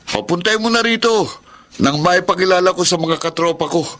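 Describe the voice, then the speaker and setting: An actor with a Filipino accent playing a cocky, freeloading brother in a radio drama, brash and teasing. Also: male voice, high-pitched, fairly quick, a bit bright. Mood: boastful, pleased.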